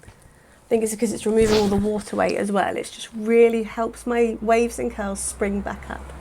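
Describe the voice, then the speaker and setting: A woman, chatting casually through a hair-care vlog, a little croaky, rambling with lots of "sort of". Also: medium pitch, flat delivery, normal speed, warm tone, slight background noise. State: slightly croaky voice. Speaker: hair vlogger.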